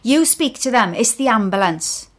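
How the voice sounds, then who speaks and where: A woman voicing a loud, stern woman bystander who gives orders with great authority. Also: fairly high pitch, expressive, moderate pace, voice pushed up. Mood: commanding. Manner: loud, commanding.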